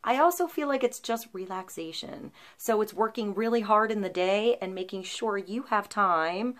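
A woman's voice: mid-range voice, normal speed. A woman in her thirties doing an intuitive tarot reading to camera, flowing and reassuring, with frequent ums and likes. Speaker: tarot reader. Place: small indoor room, seated before a painted wooden backdrop.

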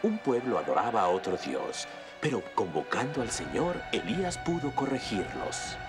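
Low, very expressive cartoon baker storyteller, calm teaching manner, music behind. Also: male voice, normal speed.

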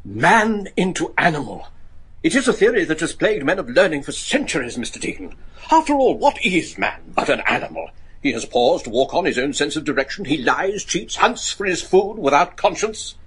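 A man acting in a gothic radio drama as a cynical, learned gentleman who lectures philosophically in a rough voice. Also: on the high side, very animated, normal speed, full character voice. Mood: musing, cynical. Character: cynical, learned gentleman expounding dark theories.

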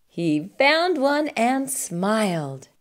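A middle-aged woman hosting storytime, reading a children's picture book aloud with expressive narration. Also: on the high side, drawn-out words. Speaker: storytime host.

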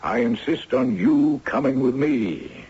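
An elderly retired judge for a 1970s radio play. His voice is rough and husky, with lively swings and a dry, courtly humor. The old recording is muffled. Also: mid-range voice, takes it slowly, heavily acted. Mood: gallant. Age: older. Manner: dry, courtly, given to chuckles and hums. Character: wry, gallant retired judge in his late seventies. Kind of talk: 1970s radio drama acting.

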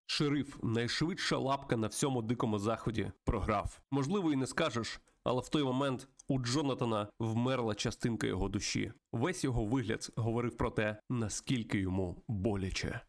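A man's voice: mid-range voice, brisk, hardly any pauses, lightly acted. Man as mock-epic western game narrator. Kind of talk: dramatic game narration read aloud.

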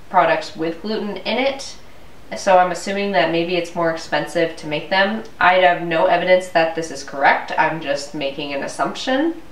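Stuffy-nosed young woman ranting. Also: fairly low pitch. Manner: chatty, exasperated ranting. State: stuffy, congested from a cold. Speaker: vlogger. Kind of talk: casual ranting vlog. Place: living room at home, indoors. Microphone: camera mic from a few feet away. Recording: roomy, distant camera audio.

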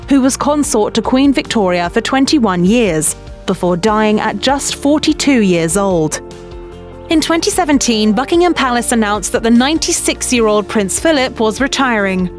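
A woman reading a scripted documentary narration in a brisk, even storytelling manner. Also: a little high. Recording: voice-over with soft background music.

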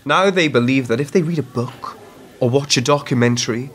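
A man playing a dry, measured, self-deprecating former intelligence worker turned author in a radio play. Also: medium pitch, a bit bright, natural voice. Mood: cynical, measured. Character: wry, world-weary male author and former intelligence worker. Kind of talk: radio drama dialogue.

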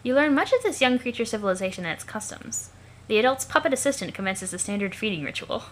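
A woman's voice: fairly high pitch, animated, fast, natural voice. A woman voicing a wry narrator who fondly observes an alien child in mock-formal, lilting storybook style. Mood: amused, fond.

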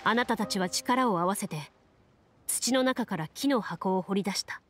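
A woman playing a composed game master who narrates a fantasy adventure in measured, solemn storytelling. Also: medium pitch, fairly bright tone, slight background noise, lightly acted. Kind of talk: scripted narration.

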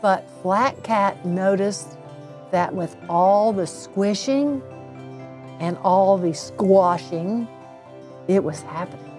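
A middle-aged woman reading a children's bedtime story aloud, with deliberate, drawn-out emphasis on phrases. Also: medium pitch, darkish tone, faint music behind. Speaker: storybook reader, local insurance agent.

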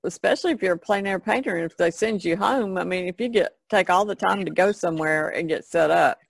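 A woman's voice: lowish voice, a little fast, gravelly, dry recording. A woman chatting casually as an artist guest on a podcast.

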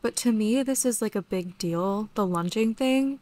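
A young woman with a voice going hoarse, chatting casually and excitably while she plays a horse game at home. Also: medium pitch, moderate pace, no room sound. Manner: chatty, excitable, lots of "like" and "literally". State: losing her voice. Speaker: gaming YouTuber. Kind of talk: casual gameplay commentary.

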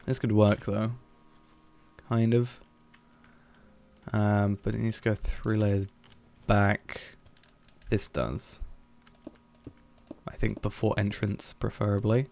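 A man's voice: on the low side, level tone, slow pace, gravelly, pauses often. A man streaming a building game, thinking aloud in a hesitant, musing way as he plans. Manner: musing, hesitant, planning out loud.

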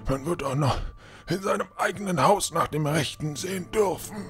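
A man's voice: fairly high pitch, normal speed, music in the background, lightly acted. A man playing an irritable, commanding, scornful old lord in a fantasy audio drama. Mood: irritated, dismissive. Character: grumpy, imperious old lord of the house. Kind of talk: fan-made fantasy audio drama acting.

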